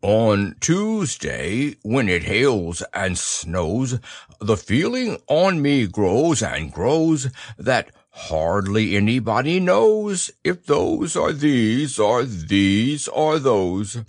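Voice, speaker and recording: A man voicing a slow, simple-minded, gentle male bear who stammers and hesitates. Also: medium pitch, sing-song, no room sound, studio quality, exaggerated voice. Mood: reciting.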